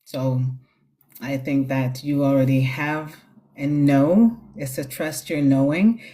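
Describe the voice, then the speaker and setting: A woman's voice: very deep voice, takes it slowly, dark tone. A woman hosting a casual live stream, giving spiritual guidance in a reassuring, chatty way. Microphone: phone on a live stream.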